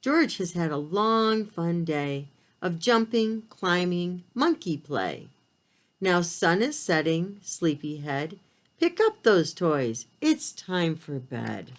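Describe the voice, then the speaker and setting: A woman with a slightly low voice reads a picture book aloud for preschoolers. Her pitch moves in lively swings while the pace stays slow. She speaks to the children in simple, direct language, as an expressive storyteller.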